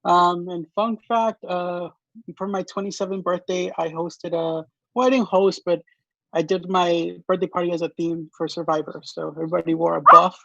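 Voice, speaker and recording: High, gravelly young man. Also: fairly even intonation, bright, forceful tone, no echo. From New York. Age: late 20s. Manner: halting, plain. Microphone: video call. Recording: dry, muffled line.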